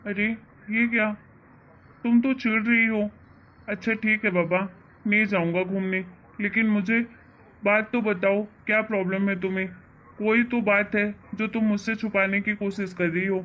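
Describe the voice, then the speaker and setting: A woman's voice: medium pitch, steady tone, moderate pace, muffled recording, natural voice. A woman voicing a caring husband and father in a cartoon family drama, coaxing and reassuring as he asks questions. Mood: concerned, coaxing.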